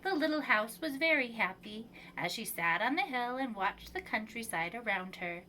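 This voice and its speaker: A woman reading a children's picture book aloud with measured, expressive phrasing for young children, as a preschool storyteller. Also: on the high side, slight background noise, low-quality recording.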